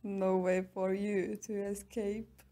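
A woman playing a smug, taunting tsundere captor in an ASMR roleplay, mocking and teasing with drawn-out pauses. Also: medium pitch, little pitch movement, warm tone. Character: smug, taunting tsundere young woman keeping a captive werewolf.

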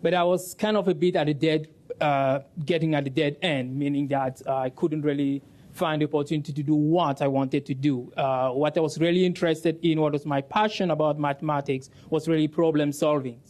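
A man's voice: on the high side, fairly even intonation, brisk, a little mellow. A young man from Cameroon whose first language is French, earnestly telling his story as a panelist on a conference stage, speaking on a lapel mic. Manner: earnest storytelling, laughs easily. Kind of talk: panel discussion answer.

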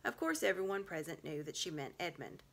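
A woman's voice: low voice, expressive, fairly quick. A woman in her thirties narrating a storybook expressively, recorded in a room at home. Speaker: storybook reader. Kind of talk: expressive read-aloud narration.

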